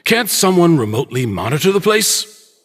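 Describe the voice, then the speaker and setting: A man's voice: a little high, very animated, normal speed, noisy background. Condescending, theatrical middle-aged businessman villain. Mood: wounded composure. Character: smooth-talking older businessman villain, patronizing, feigned fatherly hurt. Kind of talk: dramatic game cutscene acting.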